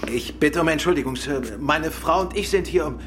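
An actor playing a composed, dryly witty gentleman detective in a German radio crime drama, polite and probing. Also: a little high, a little fast, faint music behind, natural voice. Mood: alert, urgent.

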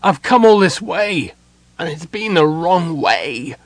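A man's voice: fairly high pitch, big pitch swings, normal speed, dry recording, voice pushed up. A man voicing a young elf prince in a fantasy audiobook, impassioned, urgent and commanding. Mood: anguished, despairing. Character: young male elf prince, anguished, imperious, impatient.

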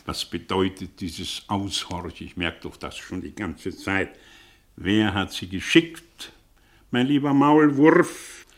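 An actor in a German radio play playing a suspicious, sly middle-aged tutor with a theatrical, probing way of drawing out his phrases. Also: low-pitched. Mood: pressing, impatient. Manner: theatrical, probing, drawn-out phrasing with sudden swings. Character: suspicious, sly English tutor, a man living under an alias.

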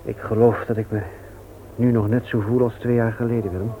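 An actor in a radio play, playing a grieving, weary widower with a halting, heavy-hearted delivery. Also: male voice, mid-range voice, level tone, warm tone, close to an everyday voice. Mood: subdued. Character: Gerrit, grieving widowed father, subdued and weary. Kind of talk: Dutch radio play acting. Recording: tinny, noisy old radio play recording.

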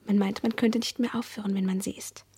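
An actress playing a dreamy, childlike young woman, speaking in a hushed, intimate, breathy whisper in a radio play. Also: medium pitch, steady tone, a little fast. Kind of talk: German radio play acting.

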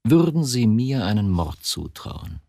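An actor in a radio drama playing a troubled, probing chief of criminal police who questions insistently. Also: male voice, lowish voice, sing-song, unhurried, vocal fry, warm tone, no room sound, studio quality, full character voice. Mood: uneasy. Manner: interrogating, insistent short questions.

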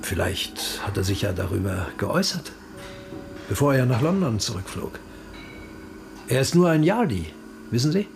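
An actor playing a sly, needling police interrogator in a radio crime drama, ironic and mock-casual. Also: male voice, medium pitch, animated, moderate pace, creaky, long pauses. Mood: sly, probing.

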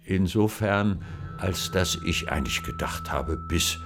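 An elderly male actor speaking reflectively and wryly in an interview, in a halting way. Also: low-pitched, animated. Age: 70s or older. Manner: wry, halting. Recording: guitar music behind the voice. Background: guitar music.

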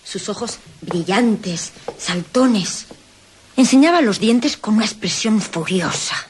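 A woman's voice: mid-range voice, lively intonation, takes it slowly, a little hiss or hum. An actress playing a dramatic, easily alarmed lady companion in a radio play. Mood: horrified, hushed.

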